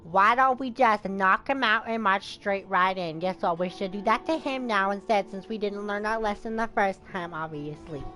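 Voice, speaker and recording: A woman's voice: mid-range voice, normal speed, hardly any pauses, bright, forceful tone. A woman streaming a video game with casual, blunt, joking commentary. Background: game music and character dialogue.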